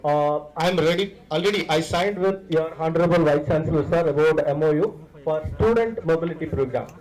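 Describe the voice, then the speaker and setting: Higher-pitched Indian-accented man addressing students. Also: fairly quick, darkish tone, low-quality recording. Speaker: visiting university director of international programs.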